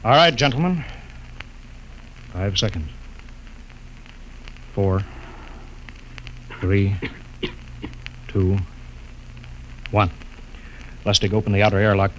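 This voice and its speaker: An actor playing a brisk, authoritative male spaceship captain in a 1950s radio drama. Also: fairly low pitch, a little hiss or hum, rough recording. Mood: commanding.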